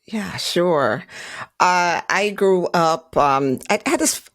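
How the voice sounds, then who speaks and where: A woman's voice: on the low side, lively intonation, slow pace, fairly bright tone, no room sound, clean studio sound. A woman from Trinidad and Tobago, a guest on a podcast, reflecting on her upbringing and laughing easily. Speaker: guest, financial advisor. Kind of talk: conversational interview answers.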